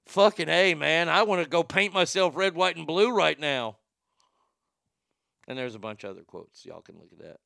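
A man's voice: on the high side, animated, moderate pace, vocal fry, bright, forceful tone, dry recording, clean studio sound. A middle-aged man from Texas with a stutter, hosting a casual show where he reads viewer comments and answers them bluntly. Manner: rambling, blunt, profane, laughs at his own jokes. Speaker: livestream show host.

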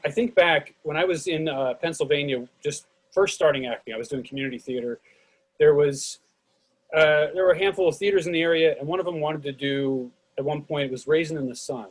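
Husky higher-voiced man, halting and thoughtful. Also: poor audio. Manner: halting, roundabout phrasing. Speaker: interviewer at a theatre talkback. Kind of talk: casual, thoughtful discussion.

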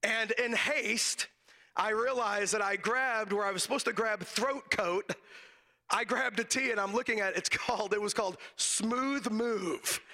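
A raspy, hoarse man preaches from the pulpit, his voice pitched high and bright. He spins a folksy personal story and breaks into laughter again and again. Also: unhurried. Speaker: guest preacher. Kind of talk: sermon with humorous personal story. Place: church sanctuary, from the pulpit. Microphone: handheld microphone.

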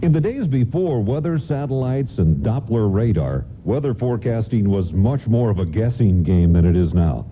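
A man's voice: lowish voice, expressive, normal speed, hardly any pauses, over music, lightly acted. A man performing as a storytelling narrator and announcer in a scripted radio drama. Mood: measured, storytelling. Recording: narrow phone-like radio sound.

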